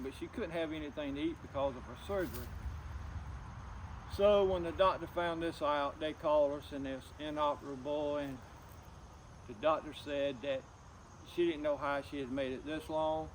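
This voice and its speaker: A middle-aged man talking personally to camera, subdued and grieving, in a halting, plain-spoken, folksy way. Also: a little high, a little hiss or hum, low-quality recording. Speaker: outdoors vlogger.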